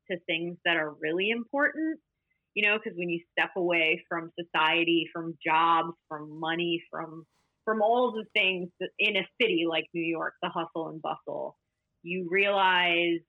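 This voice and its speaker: A woman speaking as a hiker guest in a podcast interview, reflective and measured. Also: on the low side, no room sound. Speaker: guest, long-distance hiker. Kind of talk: conversational interview. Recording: dull lo-fi, dry.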